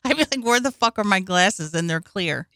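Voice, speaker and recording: Playful giggly woman comic, bright voice, lively pitch. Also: moderate pace, dry recording. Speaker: stand-up comic guest. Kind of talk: casual chat.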